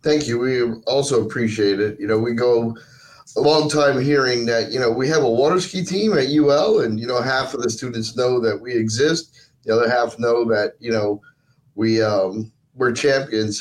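A man's voice: medium pitch, poor audio. Male sports coach as interview guest, middle register, conversational and unvarnished. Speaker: team coach, podcast guest. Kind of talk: casual interview answers.

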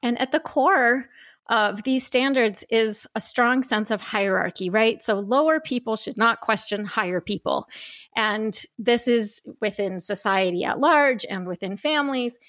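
A woman's voice: a little high, unhurried, fairly bright tone, dry recording, studio quality. A woman hosting a podcast, methodically laying out ideas point by point in an explanatory tone. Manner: methodical, lays out points in numbered lists. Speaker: podcast host.

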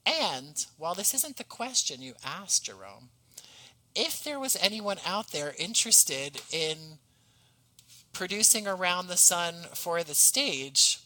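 A man's voice: high-pitched, sing-song, unhurried, sharp tone, low-quality recording. A man speaking as a podcast guest in a casual conversation, earnest and self-deprecating.

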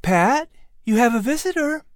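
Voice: medium pitch, animated, moderate pace, no room sound, studio quality, pitched above the performer's own voice, close to an everyday voice. An audiobook narrator voicing a caring, gracious colonial mother. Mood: welcoming.

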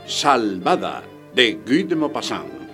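A man's voice: medium pitch, sing-song, slow pace. A man announcing a radio theatre piece, reading a scripted introduction in a presenter's style. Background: music.

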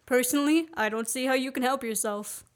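Young woman doing a guy on the run, higher voice, dry sarcastic and bossy, radio drama style. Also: brisk, natural voice. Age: young adult. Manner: sardonic, commanding. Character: fugitive man, sardonic, bossy, wrongly accused. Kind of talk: student radio play acting.

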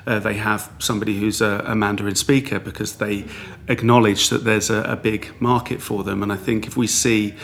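Guy w a lowish voice, rambly, loads of restarts and you knows. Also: fairly even intonation, a little fast, few pauses, a bit bright, a little hiss or hum. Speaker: guest. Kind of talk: conversational interview.

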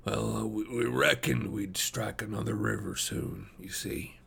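Man acting a dying frontier wanderer, gravelly, weary, gentle, halting rustic speech, storybook character. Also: fairly low pitch, a little hiss or hum, full character voice. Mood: halting, resigned. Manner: halting, folksy, uneducated speech.

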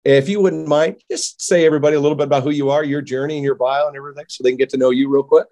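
A man's voice: a little high, fairly even intonation, rapid-fire, dark tone. A man hosting a casual interview podcast, enthusiastic and jokey, rambling as he welcomes his guest. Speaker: podcast host.

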